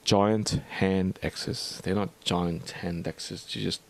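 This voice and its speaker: Rambling, lowish-voiced man with an Australian accent. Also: fairly even intonation, takes it slowly, vocal fry. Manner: rambling and hesitant, full of ums, restarts and asides. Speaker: archaeology channel host. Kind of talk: casual vlog commentary reading out a study.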